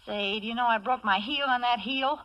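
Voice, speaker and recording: A woman's voice: a little high, brisk, lo-fi sound, heavy background noise, close to an everyday voice. Woman playing a wisecracking 1940s chorus girl. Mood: sassy. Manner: wry wisecracks in streetwise slang. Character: wisecracking, soft-hearted Broadway chorus girl. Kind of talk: 1940s radio acting. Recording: vintage 1940s radio recording.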